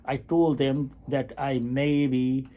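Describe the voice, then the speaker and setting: A man in his eighties, a retired family physician, talking slowly and haltingly in a personal video diary from a hospital waiting area, with many pauses and fillers. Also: mid-range voice, level tone, warm tone. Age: 80s.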